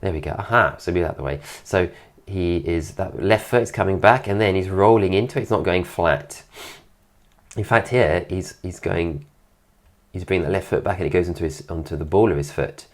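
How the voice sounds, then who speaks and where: A man with a very low, deep voice and a stuffy, congested nose, still getting over an illness. He talks in a relaxed voice-over commentary and keeps praising details in an admiring way, close to the mic. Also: fairly quick. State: recovering from illness, voice deeper than usual. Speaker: dance teacher reviewing a dance video.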